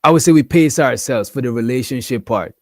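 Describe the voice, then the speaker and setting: Brief: man, mid-pitch, easygoing panelist, heavy slang, dry close sound. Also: fairly quick, darkish tone, dry recording. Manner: slangy, laid-back, says "you know what I'm saying". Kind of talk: casual panel discussion.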